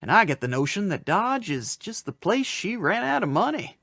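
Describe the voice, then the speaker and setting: An actor playing a fretful, folksy old frontier storekeeper in a radio western, hesitant and fussing, with rustic diction. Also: male voice, high voice, big pitch swings, moderate pace, a bit bright, no echo, studio quality, exaggerated voice. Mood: chatty, folksy.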